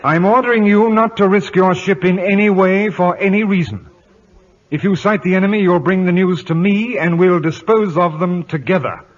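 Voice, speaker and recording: A man's voice: high voice, moderate pace. An actor playing a haughty, stubborn admiral in a 1950s radio drama, pompous and condescending as he commands. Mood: condescending, stern. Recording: dull old radio broadcast recording.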